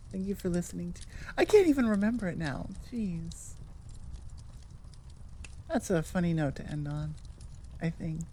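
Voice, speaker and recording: A woman's voice: fairly low pitch, warm tone, a little hiss or hum. A woman hosting her own channel, chatting casually to listeners in a rambling, playful, self-correcting way. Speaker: ASMR creator, channel host. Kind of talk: casual chat outro to listeners.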